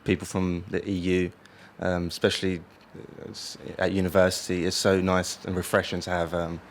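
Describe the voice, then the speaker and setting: A man's voice: low-pitched, monotone, moderate pace, a little hiss or hum. A man in his twenties interviewed outdoors, speaking earnestly and thoughtfully. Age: 20s. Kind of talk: vox pop street interview. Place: outdoors in a seaside town.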